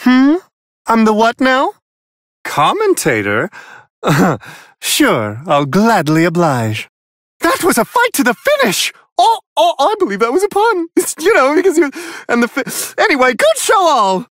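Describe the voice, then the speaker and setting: A man's voice: very high-pitched, wildly swooping pitch, unhurried, fairly bright tone, dry recording, clean studio sound, heavily acted. A man voicing a refined, unflappable, amused celestial attendant in a video game, commentating on fights with prim, courtly, theatrical precision. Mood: curious, wry, then delighted, admiring.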